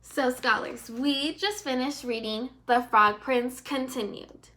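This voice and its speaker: Woman, first grade teacher, expressive read-aloud, bright clear-cut tone, fairly high pitch. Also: takes it slowly. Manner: expressive, addresses pupils directly. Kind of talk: read-aloud lesson for young children.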